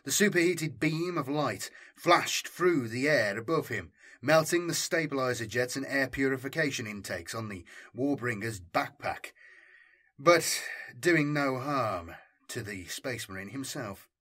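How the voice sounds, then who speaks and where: A man's voice: medium pitch, animated, a little slow, a bit bright. A man reading military science fiction as an audiobook narrator, in a scripted, dramatic storytelling style.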